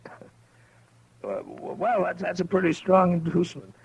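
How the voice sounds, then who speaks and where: An American man acting a stern, steady frontier marshal in a 1950s radio western, with a measured, dry, authoritative delivery. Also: sits high, vocal fry, husky, exaggerated voice. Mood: gentle, wry. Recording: dull muffled vintage radio recording.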